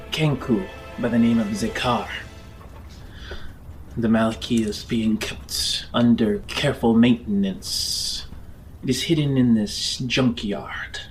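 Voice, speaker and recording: A man narrating a tabletop roleplaying game as game master, in a measured, descriptive storytelling manner. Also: fairly low pitch, rough recording. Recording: music under the voice. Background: background music.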